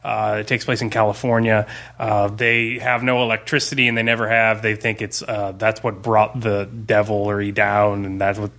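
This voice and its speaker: A man's voice: fairly low pitch, level tone, moderate pace, a bit bright. A man co-hosting a podcast, talking in a casual, conversational way. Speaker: podcast co-host; school teacher. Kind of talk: casual chat, recommending books.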